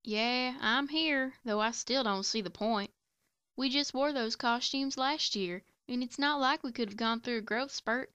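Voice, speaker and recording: A woman's voice: fairly high pitch, a little slow, pushed and bright, smooth, no room sound. A woman playing a plain-spoken young country pony, folksy and down-to-earth, in an amateur audio drama. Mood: reluctant, casual.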